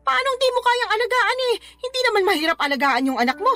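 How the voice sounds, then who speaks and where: A woman's voice: very high-pitched, big pitch swings, racing, bright, forceful tone, faint music behind, full character voice. A woman playing an indignant, nagging Filipino grandmother who protects her grandchild, in a radio drama. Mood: outraged. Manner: exasperated, nagging, exclamatory.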